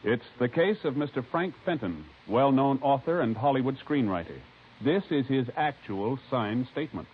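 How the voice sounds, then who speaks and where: A man's voice: medium pitch, animated, normal speed, slightly rough. A man announcing a programme and its sponsor in formal 1950s radio style. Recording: tinny vintage radio, faint noise.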